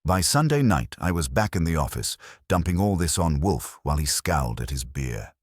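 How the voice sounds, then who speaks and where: A man's voice: a bass voice, sing-song, moderate pace, no room sound, clean studio sound. A man narrating a scripted first-person detective story in a wry, hard-boiled way.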